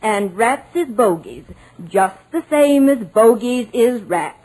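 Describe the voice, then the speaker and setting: Fussy village housekeeper, husky voice, superstitious, talkative, deferential, old radio drama. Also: female voice, a little high, lively intonation, takes it slowly, a little mellow, rough recording. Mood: fussy, foreboding. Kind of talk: 1940s radio drama acting.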